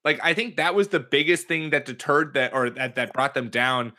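Bright-voiced joking sports podcast host. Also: male voice, mid-range voice, moderate pace. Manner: jokey, puts on shifting accents. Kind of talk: casual sports chat.

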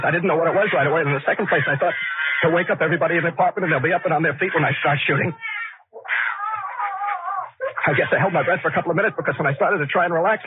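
An actor playing a nervous, obsessive killer who confides in a rambling, conversational way, in the manner of 1940s radio suspense narration. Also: male voice, on the high side, natural voice. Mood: panicky, breathless. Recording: scratchy, tinny 1940s radio recording.

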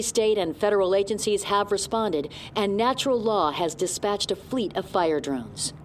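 A woman playing a formal, measured news reporter reading headlines in an audio drama. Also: mid-range voice, a little mellow, slight background noise, lightly acted. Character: composed female radio news reporter. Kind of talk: scripted newsreading in audio drama.

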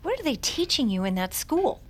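A woman's voice: high voice, very animated, normal speed. An actress playing an eccentric, brusque and superstitious woman who is an occult expert, speaking in a pompous, theatrical manner in an audio drama. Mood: lecturing, dramatic. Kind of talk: audio fiction drama acting.

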